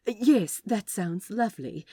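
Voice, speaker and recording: A woman's voice: medium pitch, lively intonation, slow, dark tone, husky, no room sound, studio quality, pitched above the performer's own voice. A woman voicing a flustered, prim and polite male receptionist who stammers and apologises in an audiobook-style story.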